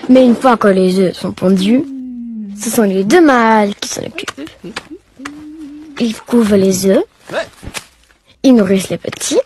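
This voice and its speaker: A woman reading scripted educational narration in a measured, didactic way. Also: mid-range voice, animated, a little mellow, a little hiss or hum. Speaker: documentary narrator. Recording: music clearly behind the voice. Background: music.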